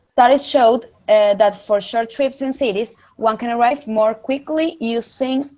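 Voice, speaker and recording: A woman's voice: on the high side, a little slow, warm tone. Young woman, hesitant English. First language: Spanish. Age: young adult. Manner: hesitant, self-correcting non-native English. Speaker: student participant. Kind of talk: online English practice chat. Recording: dull lo-fi voice call.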